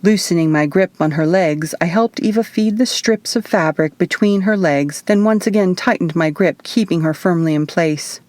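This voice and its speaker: Female audiobook narrator, fairly low register, scripted first-person storytelling, dry booth-like sound. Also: normal speed, hardly any pauses, a little mellow, no echo. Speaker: author reading own audiobook.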